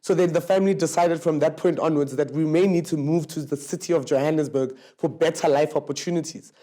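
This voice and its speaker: A man's voice: on the high side, fairly even intonation, a little fast, warm tone. A South African man in his thirties, a mayoral candidate, speaking in a fluent, confident, conversational way. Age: 30s.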